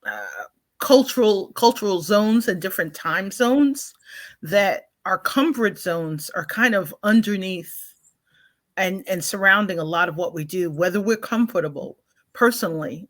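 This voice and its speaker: A woman's voice: on the low side, expressive, moderate pace. A woman hosting a live online talk show, greeting viewers and introducing her guest with effusive enthusiasm. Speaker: host.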